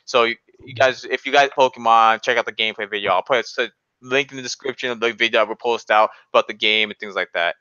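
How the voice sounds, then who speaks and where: A young man with a forceful, clear voice, arguing casually like he's among friends. He is slangy and opinionated. The recording is close and dry, though a little dull, like an online voice chat. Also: medium pitch, fast talker, no room sound. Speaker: podcast co-host.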